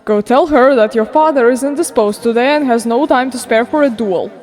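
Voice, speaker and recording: A woman's voice: fairly high pitch, a little fast, darkish tone, big-room sound, a faint crowd, natural voice. A woman acts out a lordly vampire father in a fantasy game dub. The speech is archaic and theatrical, weary with dignity yet boyishly keen on tales. Music plays under the lines. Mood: weary, composed. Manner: archaic, formal, theatrical diction. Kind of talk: dramatic game fandub acting.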